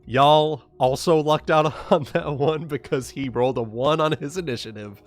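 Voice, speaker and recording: A man's voice: a little high, moderate pace. A man narrating and chatting casually as game master of a tabletop roleplaying game. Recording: faint music behind the voice.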